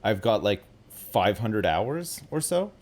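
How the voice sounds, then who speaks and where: A man's voice: fairly low pitch, sing-song, takes it slowly, a little mellow. A man in his forties hosting a casual gaming stream, explaining things in a rambling way with lots of fillers and some self-deprecation. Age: 40s. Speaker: streamer host.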